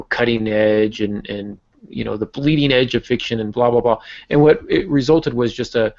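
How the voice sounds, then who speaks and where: An American man hosting a writing podcast, explaining in a thoughtful, halting, conversational way. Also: medium pitch, vocal fry, dull audio. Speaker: podcast host and novelist.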